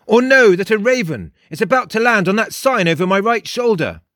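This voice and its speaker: A man's voice: high voice, animated, a little fast, fairly bright tone, no room sound, studio quality, pitched above the performer's own voice. A man voicing a smug, theatrical young male mystic in a storybook, declaiming with pompous emphasis. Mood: smug, grand. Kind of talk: audiobook character dialogue.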